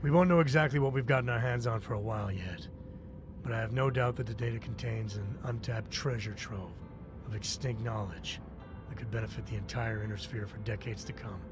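An actor playing a gracious older mercenary patron in a video game cutscene, speaking in a measured, formal, articulate way. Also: male voice, fairly low pitch, over music, natural voice. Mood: earnest, measured.

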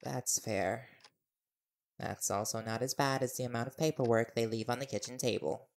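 A man's voice: mid-range voice, steady tone, moderate pace, creaky, close to an everyday voice. A man playing an easygoing, teasing young reporter boyfriend in an audio roleplay, talking casually and with playful stubbornness. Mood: casual, wary.

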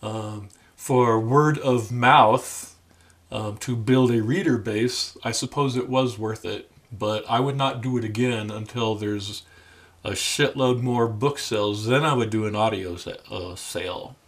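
An older man, around his sixties, talking casually to the camera in a deliberate, halting, dry manner. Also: lowish voice. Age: older, about 60s. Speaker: memoir author addressing viewers. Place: small room at home, indoors.

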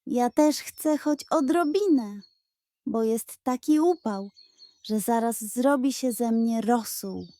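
A woman's voice: on the high side, slow pace, mellow, no echo. A woman voicing a proud, eager male goose with a honking manner in a children's audio fairy tale.

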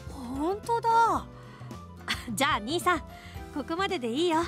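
Casting: breezy little-sister warrior for game radio drama; high, animated, cheerful, independent. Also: female voice, measured pace, soft background music, exaggerated voice. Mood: breezy grateful. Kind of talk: Japanese anime game radio drama acting.

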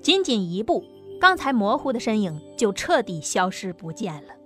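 A woman's voice: medium pitch, big pitch swings, moderate pace, fairly bright tone. A woman narrating a novel as an audiobook, reading a dramatic script. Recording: music under the voice.

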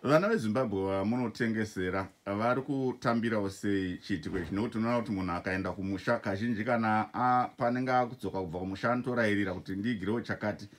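A man interviews a shop owner from behind the camera. His voice sits fairly low, and he asks long, measured questions at an even pace.

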